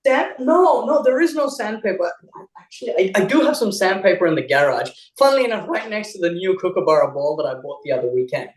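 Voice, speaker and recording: A man born in India who grew up in Australia, speaking with an Australian accent as co-host of a casual chat, animated and teasing. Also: high voice, fast, few pauses, reverberant, recorded from a distance. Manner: animated, teasing, repeats words when restarting.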